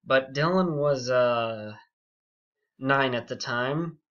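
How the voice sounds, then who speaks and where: A young man telling a story casually and unscripted, rambling and halting, with frequent asides. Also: medium pitch, a bit bright. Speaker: YouTube storyteller. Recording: dull, muffled lo-fi audio.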